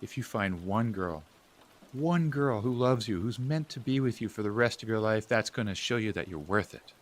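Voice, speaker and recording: A man's voice: lowish voice, lively intonation, normal speed, lightly acted. A man acting a frustrated, caring family-man brother in an audio drama, blunt and emphatic. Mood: earnest. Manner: blunt, emphatic, swinging between exasperated and hushed.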